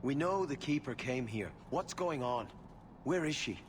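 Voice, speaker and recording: A man's voice: mid-range voice, lively intonation, unhurried, a little hiss or hum, lightly acted. An actor playing an alarmed, accusing elven clansman in a video game cutscene.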